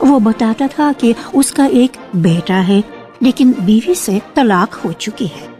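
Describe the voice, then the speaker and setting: A woman narrates a literary radio drama in a soft, very mellow, fairly high voice. Her reading is measured and expressive, with lively rises and falls. It is an archival broadcast recording with music. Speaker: narrator of a dramatized short story.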